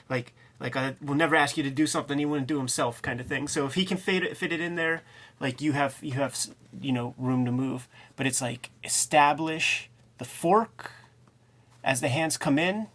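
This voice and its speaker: A man's voice: on the high side, a bit bright. A man co-hosting a review show in casual, slangy, rambling commentary. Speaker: co-host of a comics review show.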